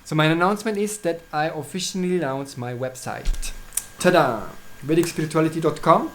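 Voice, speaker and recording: A Swiss man, German his first language, speaks English in a casual solo video, presenting his astrology readings. His voice sits a little high, and he pauses and restarts often with fillers. A faint hiss of noise runs behind him. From Switzerland. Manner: hesitant, halting, many fillers and restarts.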